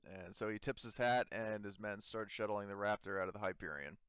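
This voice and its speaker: A man running a tabletop roleplaying game, narrating off the cuff in a casual, halting way. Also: fairly low pitch, fairly bright tone, clean tone. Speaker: game master.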